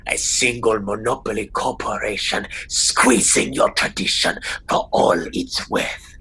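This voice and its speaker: Radio play trickster spirit: sly, taunting, slow and low, gravelly whisper, faint noise. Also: male voice, pushed and bright, heavily acted. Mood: sardonic. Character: sly, mocking male trickster spirit testing questers.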